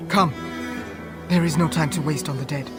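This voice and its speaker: A fierce, protective Norse goddess and mother from a fantasy video game, voiced by a woman. She speaks in imperious, old-fashioned formal lines with reproach in them. Loud music plays behind her voice. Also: low voice, fairly even intonation, normal speed, close to an everyday voice. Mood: urgent. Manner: imperious, archaic formal phrasing. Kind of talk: dramatic game cutscene acting.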